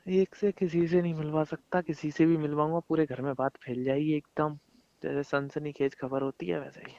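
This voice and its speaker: A man's voice: fairly high pitch, little pitch movement, moderate pace, creaky, darkish tone. A young man chatting casually and intimately with his partner on a recorded phone call, coaxing and pleading in a colloquial way. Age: young adult. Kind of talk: casual intimate phone chat between lovers.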